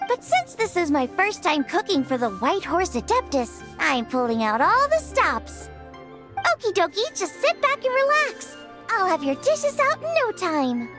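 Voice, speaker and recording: A woman's voice: very high voice, big pitch swings, measured pace, exaggerated voice. A woman voicing a cheerful, bubbly young restaurant cook in a video game, with a perky, friendly, casual delivery. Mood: enthusiastic, confident. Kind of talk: animated video game voice acting. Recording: music behind the voice.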